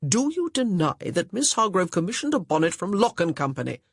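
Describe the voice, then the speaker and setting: A pompous young gentleman lectures sternly, yet his authority keeps wobbling into confusion, as the audiobook narrator puts him on. The voice is a touch husky, with lively rises and falls, recorded close and dry. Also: sits high, a little fast, no room sound, full character voice. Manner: stern, blustering, uncertain. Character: pompous flustered young man, stepbrother.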